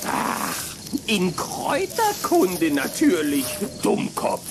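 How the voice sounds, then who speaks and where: A man playing a mocking, unpredictable teenage boy vampire in a children's radio play, with theatrical, drawn-out taunting. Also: high-pitched, wildly swooping pitch, noisy background, heavily acted. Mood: scornful.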